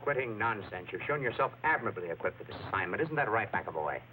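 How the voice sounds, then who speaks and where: An actor playing a brusque, excitable police boss, blustering and bossy, in old radio drama style on a thin, noisy recording. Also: male voice, lowish voice, fast, natural voice. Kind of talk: mid-century radio drama acting.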